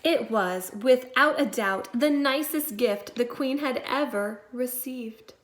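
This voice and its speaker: Higher-voiced woman reading a storybook aloud. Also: slight background noise. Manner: deliberate storyteller, stressing words, dropping to quiet asides. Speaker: second-grade teacher. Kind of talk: expressive storybook read-aloud for young children.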